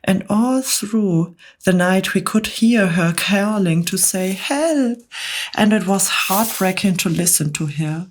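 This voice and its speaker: A woman reading aloud, voicing a fussy, fretful female spider in a higher voice. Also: animated, slow, slight background noise. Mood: mournful. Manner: mournful, dramatic.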